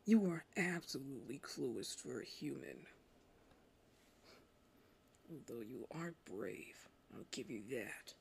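An arrogant male anime vampire, acted out by a woman, speaks low and slowly to the listener. The voice is breathy and gravelly, swinging widely in pitch as it teases with cold, hungry smugness. It suits an intimate roleplay audio drama. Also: animated, a little mellow, a little hiss or hum, full character voice. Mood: smug menace. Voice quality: low, breathy, often whispering. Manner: slow, smug, teasing.

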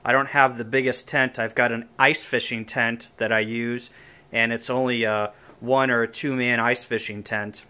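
A man's voice: mid-range voice, flat delivery, moderate pace, sharp tone, smooth. A man giving an informal, slightly hesitant hands-on equipment walkthrough, thinking aloud as he goes. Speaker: amateur radio hobbyist. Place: indoor room with equipment on folding tables. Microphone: handheld phone or camera he is holding.

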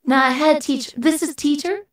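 A man voicing a brash, squeaky young woman through a female voice changer, bantering casually on a livestream. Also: fairly even intonation, normal speed. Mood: complaining. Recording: roomy, distant mic through voice changer.

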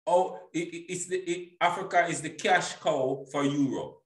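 A man's voice: a little high, moderate pace. A middle-aged man hosting a casual, opinionated interview, emphatic and repeating himself for stress. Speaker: interview host. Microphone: boom-arm microphone, headphones. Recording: roomy, echoing home microphone.

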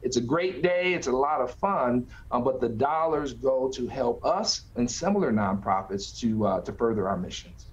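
A man's voice: mid-range voice, lively intonation, moderate pace, hardly any pauses. Middle-aged man on a dull video call. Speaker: nonprofit executive director, interview guest. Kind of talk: conversational interview answers. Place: small office, indoors.

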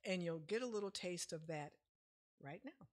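A woman's voice: lowish voice, expressive, moderate pace. A middle-aged woman giving a casual health seminar at a church lectern, explaining in a chatty way with frequent fillers, picked up from a distance. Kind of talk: casual lecture with slides. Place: church sanctuary, speaking at a lectern.

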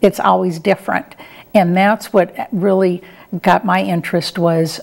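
A woman's voice: fairly low pitch, fairly even intonation, a little slow, vocal fry. A middle-aged woman answering interview questions in a conversational, plain-spoken and somewhat hesitant way. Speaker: interview guest, retired air traffic controller and professor.

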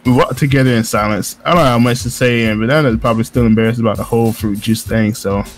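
A man reading game narration aloud in a casual group playthrough, jokey, excitable and prone to swearing. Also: medium pitch, fast. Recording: music under the voice. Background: game music.

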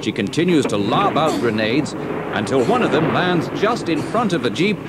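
A man's voice: a little high, lively intonation, normal speed, heavy background noise. A man narrating a scripted children's adventure story as its storyteller. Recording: old story cassette, music underneath. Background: music.

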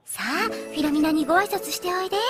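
A woman voicing a gentle, nurturing young female pony in a cartoon dub, speaking in a tender, coaxing way. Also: high-pitched, quick pace, loud music behind the voice, close to an everyday voice.

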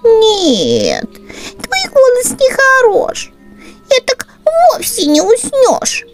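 A woman voicing a silly, sleepy baby mouse in a storybook, fussy and squeaky as it complains. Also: wildly swooping pitch, very slow, music in the background, exaggerated voice. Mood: whiny, fussy.

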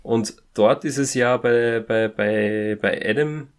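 A man's voice: mid-range voice, slow pace. A man chatting casually as a podcast co-host, feeling his way hesitantly through his sentences. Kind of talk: casual chat.

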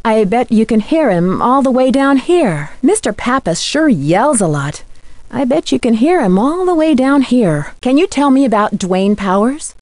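Young female amateur detective, video game, earnest, inquisitive, determined, animated intonation, dry recording. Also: on the high side, normal speed, no echo. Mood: polite, inquisitive.

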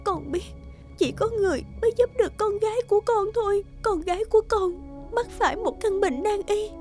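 Devoted cartoon witch mom, super high voice, emotional n pleading, music behind. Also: a little fast, darkish tone. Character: gentle, devoted witch mother, pleading and self-sacrificing. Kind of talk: cartoon dubbing.

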